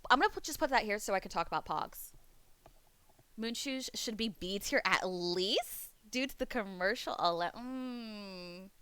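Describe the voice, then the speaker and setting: Woman streamer, casual rambler, voice fading hoarse, mid pitch, dry close mic. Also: expressive, a little slow, a bit bright, no echo. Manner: rambling, laughs often, reads chat aloud. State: losing her voice. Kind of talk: casual live-stream chat. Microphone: desk mic on a webcam stream.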